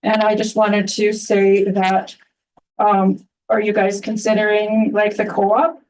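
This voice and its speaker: A soft-spoken woman addressing a town council during public comment, halting with many restarts and fillers. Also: medium pitch, completely flat delivery, far from the mic, low-quality recording.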